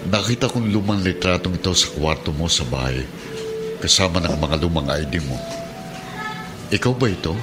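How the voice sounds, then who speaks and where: A fatherly Filipino police officer in middle age, very low in pitch. He speaks in a measured, earnest way, as in a radio drama, over a clear bed of music. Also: lively intonation. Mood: grave, earnest. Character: kindly middle-aged policeman, fatherly and steady adoptive father.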